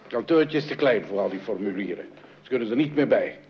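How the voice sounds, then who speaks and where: A man's voice: fairly low pitch, expressive, fast, close to an everyday voice. An actor playing a grumpy, blustering middle-aged father in a Dutch radio play. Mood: flustered. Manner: blustering, exclamatory. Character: grumpy, theatrical father of the household, easily exasperated. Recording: muffled, noisy old radio recording.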